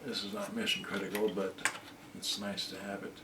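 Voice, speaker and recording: Male, low-pitched, unhurried and halting, muttering through hands-on repair work, recorded distant from the mic. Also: monotone. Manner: halting, talking to himself while working. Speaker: repair video host. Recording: distant roomy mic, loud noise, clicks and clatter.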